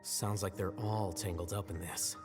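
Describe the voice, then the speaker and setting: A man playing a composed, cerebral young scholar in a video game, measured, formal and deadpan. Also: low voice, long reverb tail, loud music behind the voice, close to an everyday voice. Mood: cool, composed.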